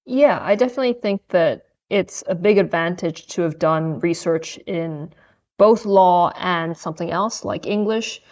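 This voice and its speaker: A woman's voice: fairly low pitch, measured pace, a little mellow, highs cut off. A young adult woman from Hong Kong, a podcast guest answering questions thoughtfully and with some hesitation. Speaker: podcast guest, law student. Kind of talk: casual interview chat.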